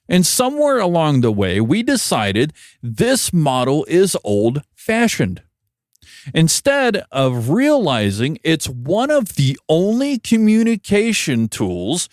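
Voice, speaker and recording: A man's voice: a little high, dry recording, studio quality. An American man hosting a podcast, delivering a conversational opinion monologue in a wry, emphatic way. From United States. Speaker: podcast host, broadcast engineer.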